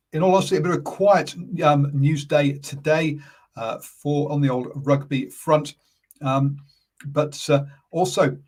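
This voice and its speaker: Halting English man chatting about sport. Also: medium pitch. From England. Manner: halting, many fillers and self-corrections; calm, easygoing delivery. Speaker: sports radio host. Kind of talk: casual solo rugby chat on a live stream.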